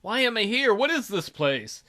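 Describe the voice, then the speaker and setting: A man's voice: sits high, very animated, normal speed, sharp tone. A man reading a visual novel aloud theatrically as a let's play host, with casual, jokey asides.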